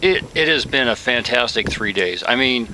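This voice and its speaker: A man's voice: medium pitch, expressive, moderate pace. A man hosting a camping vlog, chatting with enthusiasm outdoors at a desert campfire. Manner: enthusiastic, laughs easily. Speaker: vlog host and ham radio operator. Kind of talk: casual outdoor chat. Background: loud wind and outdoor noise.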